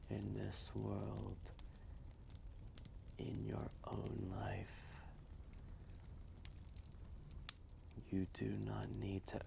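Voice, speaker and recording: An ancient dragon, voiced by a man, speaks low and very slowly in a deep crackling growl. He is wise, gentle and fatherly, murmuring his tale in intimate, hushed roleplay storytelling. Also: full character voice. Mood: gentle, reassuring. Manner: hushed, breathy, measured, with long pauses.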